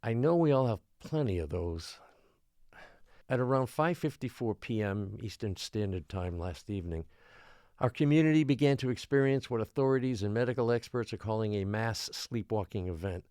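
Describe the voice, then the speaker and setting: A man plays a beleaguered mayor of a small island in an audio drama. His voice is low and closely recorded, with little room sound. He speaks formally and with care, trying to keep frightened people calm. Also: no echo, studio quality, lightly acted. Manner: measured, formal, placating. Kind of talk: audio drama acting, public address.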